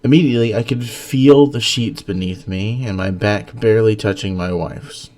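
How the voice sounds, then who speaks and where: Guy with a lowish voice hosting story readings, earnest and expressive. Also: normal speed. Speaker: host and story narrator. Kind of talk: dramatic story reading and casual discussion.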